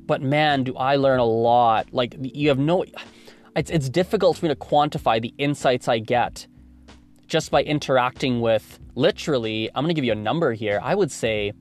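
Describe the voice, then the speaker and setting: A man's voice: medium pitch, moderate pace, soft background music. A man in his twenties from Canada, hosting a solo podcast and thinking aloud, rambling with many restarts. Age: 20s. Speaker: podcast host.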